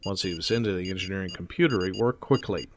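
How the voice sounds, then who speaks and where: A man's voice: deep voice, fast, slight background noise. A man narrating a scripted science fiction audio drama in a wry storytelling manner.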